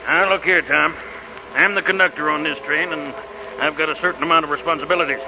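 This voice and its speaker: A man acting a stern but soft-hearted, blustering train conductor in a 1940s American radio drama. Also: on the high side, fairly even intonation, quick pace, close to an everyday voice. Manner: blustering, scolding, easily won over. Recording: dull, noisy old radio broadcast recording.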